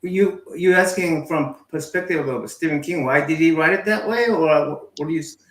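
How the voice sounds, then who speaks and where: A very roomy, echoing recording of a middle-aged man on a video call. His voice sits a bit high, and he talks haltingly and casually, repeating words as he forms his point. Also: fairly bright tone. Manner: halting, repeats words while forming thoughts. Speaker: panelist in a book discussion. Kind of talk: casual discussion. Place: indoor room at home, video call. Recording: echoey room on video call.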